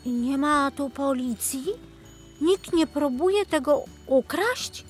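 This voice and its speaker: A woman's voice: high voice, expressive, takes it slowly, faint music behind, voice pushed up. A woman voicing a curious, wide-eyed young girl in a children's storybook, eager and full of questions.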